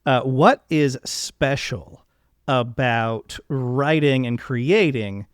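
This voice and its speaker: A man's voice: mid-range voice, drawn-out words, smooth, dry recording, clean studio sound. A man hosting a podcast interview, speaking casually and with enthusiasm.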